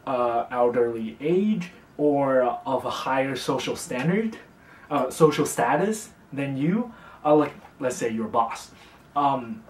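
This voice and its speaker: A young man from China, teaching a casual beginner language lesson in a relaxed, chatty way, with fillers and slow, careful repetition of example words. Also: medium pitch, slight background noise. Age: young adult. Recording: roomy, distant mic.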